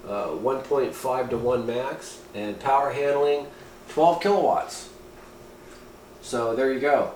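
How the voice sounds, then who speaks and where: A man talks through a piece of hobby gear in a roomy, echoing space with faint noise behind him. His pitch is mid-range for a man. He sounds informal and folksy, slipping between reading aloud and offhand comment. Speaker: amateur radio hobbyist reviewing gear. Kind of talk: casual product review, partly reading aloud. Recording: roomy echo, steady background noise.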